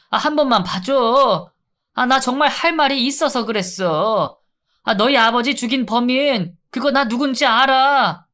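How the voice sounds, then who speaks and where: A man voicing a sneering, wheedling male convict in drug withdrawal, who taunts and then begs with drawn-out sighs. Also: very high-pitched, bright, forceful tone, pitched above the performer's own voice, full character voice.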